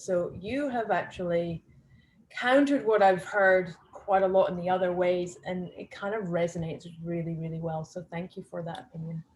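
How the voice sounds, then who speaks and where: A woman with a Scottish accent, a participant on a video call, giving appreciative and somewhat halting feedback. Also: lowish voice, a little mellow, a little hiss or hum, poor audio. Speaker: workshop participant. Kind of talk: casual chat.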